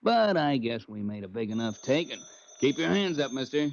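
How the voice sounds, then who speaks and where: An actor playing a smug, chuckling crook with slangy, drawling talk in a 1940s radio crime drama. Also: male voice, mid-range voice, big pitch swings, moderate pace, gravelly, no echo. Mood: smug, taunting. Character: smug chuckling small-time male crook with a gun. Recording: dull restored old radio recording.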